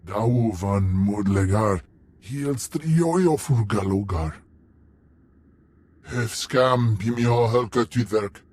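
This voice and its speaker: A man voicing a deliberate alien in a video game, speaking an invented tongue. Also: on the low side, a little mellow, slight background noise.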